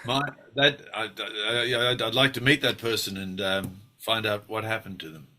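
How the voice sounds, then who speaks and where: Older Australian actor, sixties, bright and forceful tone, wry storyteller who hesitates and restarts. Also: male voice, lowish voice, steady tone, normal speed. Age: 60s. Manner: wry, self-deprecating, with many fillers and restarts. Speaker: actor guest. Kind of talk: relaxed interview reminiscing.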